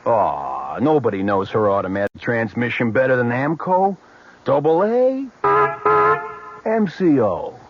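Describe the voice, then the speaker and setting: A man's voice: medium pitch, sing-song, unhurried, no room sound. An actor playing a cheerful, helpful repair shop man in a comic radio commercial. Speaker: shop employee in an advert. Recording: lo-fi radio, faint noise.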